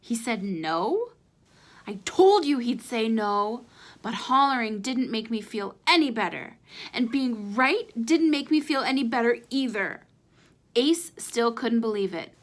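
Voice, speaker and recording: A woman reading a children's chapter book aloud as an expressive storyteller. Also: fairly high pitch, unhurried.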